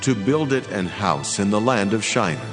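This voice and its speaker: Casting: male actor as a solemn heavenly messenger, very low voice, commanding and weighty, in cavernous reverb over music. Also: expressive, normal speed. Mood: solemn, authoritative. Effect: heavy reverb. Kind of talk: dramatized scripture reading. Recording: long hall reverb, music underneath.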